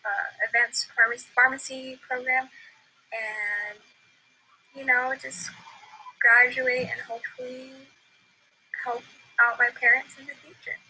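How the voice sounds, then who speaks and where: Bright-voiced young woman reading. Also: on the high side, little pitch movement, unhurried, pauses often, slight background noise, low-quality recording. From United States. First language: Tagalog. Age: late teens. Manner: earnest, with soft filler ums and light laughs. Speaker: graduating high school student. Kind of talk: reading her own essay aloud.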